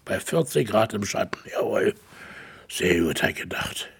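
Radio drama: folksy rambling villager, Berlin-Brandenburg accent, very raspy creaky voice, swinging intonation. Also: male voice, fairly low pitch, animated, measured pace, fairly bright tone, full character voice. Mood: sorrowful storytelling. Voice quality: husky, gravelly. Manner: rambling, colloquial storytelling. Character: folksy rural village man mourning his favourite hen. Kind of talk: naturalistic radio play acting.

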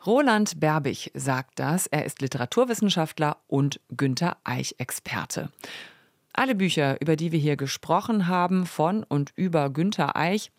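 A woman's voice: deep voice, lively intonation, moderate pace, no room sound. Woman radio host, dry studio sound. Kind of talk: radio book programme presentation and interview.

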